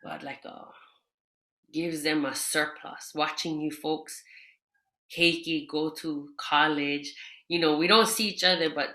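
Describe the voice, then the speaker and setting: Woman, low and bright, slow, hesitant panel guest. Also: fairly even intonation. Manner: halting, grateful, hesitant restarts. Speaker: roundtable guest and parent. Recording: roomy echo.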